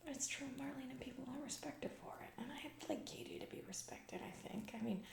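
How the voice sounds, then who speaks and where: Whispering, creaky, distracted lady boss acted by a woman. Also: sits high, brisk, roomy sound. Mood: airy, dismissive. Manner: rambling, flippant, casual corporate drawl with trailing asides. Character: self-absorbed, distracted, entitled female CEO and aunt. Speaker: ASMR roleplay performer. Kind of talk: whispered comedic ASMR roleplay.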